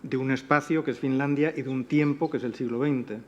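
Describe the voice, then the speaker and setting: A man moderating a literary panel, asking measured, articulate questions. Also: mid-range voice, fairly even intonation, long reverb tail, highs cut off, a little hiss or hum.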